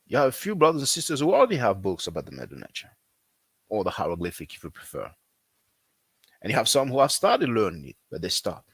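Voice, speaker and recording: A man who is not a native English speaker, talking casually as a language teacher, repeating himself often and filling pauses with "you know.". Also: lowish voice, wildly swooping pitch, fairly bright tone, husky, dry recording. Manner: repetitive, conversational, frequent fillers. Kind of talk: casual promotional talk.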